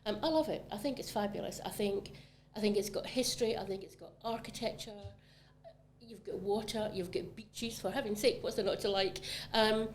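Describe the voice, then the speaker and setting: A woman's voice: medium pitch, normal speed. A Scottish woman talks in an easy, enthusiastic way, with lots of ums as she thinks aloud. Her voice sits in a mid range. There is a faint noise floor behind her. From Scotland. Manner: chatty, enthusiastic, many ums. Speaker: commercial property manager. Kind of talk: casual podcast conversation.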